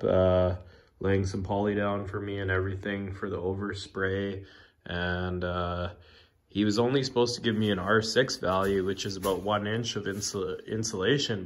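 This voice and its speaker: A man casually walking through his shipping container build, rambling with lots of fillers and restarts, filmed on a handheld phone inside the container. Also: fairly low pitch. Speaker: DIY video maker. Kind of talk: casual walkthrough vlog. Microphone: phone camera held in hand.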